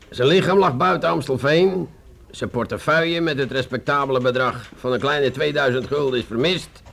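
A man with a Netherlands Dutch accent playing a condescending, weary police inspector in a radio play, sardonic and deliberate, with stammered hesitations. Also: mid-range voice, a bit bright.

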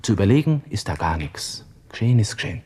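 An actor playing a proud, despairing young army lieutenant with a Viennese accent, muttering to himself in a radio drama monologue. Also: male voice, lowish voice, big pitch swings, fast, warm tone, slight background noise. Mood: brooding, despairing. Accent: Austrian, Viennese.

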